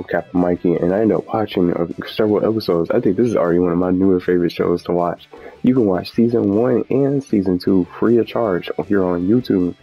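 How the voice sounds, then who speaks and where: A man's voice: deep voice, mellow. A man hosting a gaming video with casual, unscripted commentary, rambling and often repeating and correcting himself. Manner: rambling, repetitive, self-correcting. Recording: muffled audio with background music.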